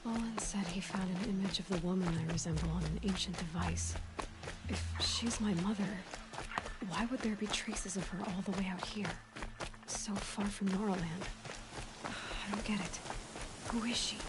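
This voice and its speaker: A woman's voice: medium pitch, normal speed, heavy background noise, close to an everyday voice. An actress playing a determined, suspicious young woman adventurer in a video game, terse and thinking aloud. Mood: puzzled, pensive. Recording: loud music behind the voice.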